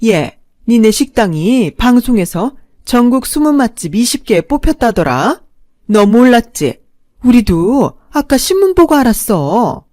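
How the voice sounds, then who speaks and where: A woman's voice: fairly high pitch, sing-song, a little slow, a little mellow, no echo, studio quality, pitched above the performer's own voice. A woman voicing a scheming, greedy older mother-in-law who talks down to her daughter-in-law, in a story reading. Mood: scolding. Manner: scolding, condescending.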